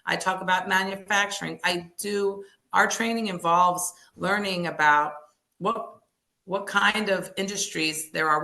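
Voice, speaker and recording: A woman's voice: lowish voice, vocal fry, pushed and bright. A middle-aged woman founder answering interview questions in an earnest, explanatory way with frequent restarts. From United States. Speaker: language services founder.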